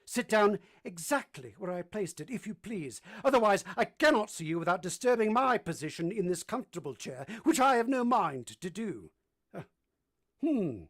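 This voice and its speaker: A woman playing a brusque, moody gentleman master in a vintage-style radio drama, commanding and teasing as they question. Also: mid-range voice, sing-song, normal speed. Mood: imperious, probing. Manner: imperious, teasing, theatrical questioning.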